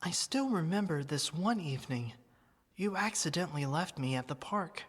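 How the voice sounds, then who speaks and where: A woman playing an affectionate, wistful teddy bear come to life in an ASMR roleplay, speaking gently and expressively to an old friend. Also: low-pitched, lively intonation, moderate pace, slightly rough, in a large hall. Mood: tender, bittersweet.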